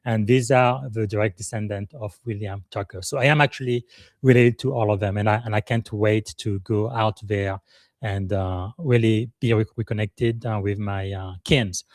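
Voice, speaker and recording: A fairly low-voiced man speaks in a live podcast monologue. His delivery is earnest and emphatic, and he often pauses mid-phrase with "uh" as he gathers his thoughts. The sound is close and dry, with no room echo. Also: fairly even intonation. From Republic of the Congo. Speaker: podcast host and leadership coach.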